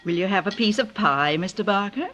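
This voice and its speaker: An actress playing a graying, dutiful housekeeper with a husky voice in an old-time radio drama. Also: female voice, mid-range voice, animated, fairly quick, fairly bright tone, a little hiss or hum, close to an everyday voice. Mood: deferential.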